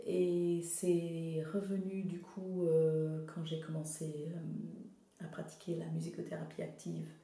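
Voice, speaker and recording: Woman from zimbabwe, english first language, gravelly low voice, lots of ums, echoey room. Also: completely flat delivery, takes it slowly, mellow. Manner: hesitant, searching for words, many fillers. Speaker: art therapist. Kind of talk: casual interview. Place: studio workshop.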